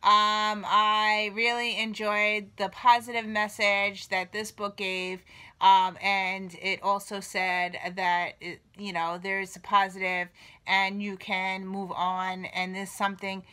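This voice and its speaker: Slow, bright-voiced woman with a cold. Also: medium pitch, clear, resonant tone, no echo. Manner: relaxed, filler-heavy, unhurried. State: slight cold, a little nasal. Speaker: book reviewer. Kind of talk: casual book review vlog.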